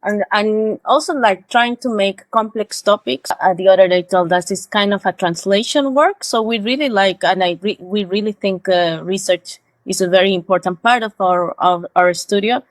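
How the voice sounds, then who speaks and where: A woman's voice: medium pitch, moderate pace, hardly any pauses, no room sound. A woman in her thirties from Peru with a Peruvian Spanish accent, speaking English carefully with hesitations as a guest in a podcast interview. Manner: careful non-native phrasing, hesitant. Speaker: guest, studio co-founder.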